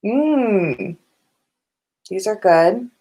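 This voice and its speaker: Slow-paced, chatty woman livestreamer. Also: mid-range voice, animated, mellow. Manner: informal, chatty, sprinkled with swearing and giggles. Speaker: podcast host on a livestream. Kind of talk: casual livestream chat with viewers. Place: indoors at home.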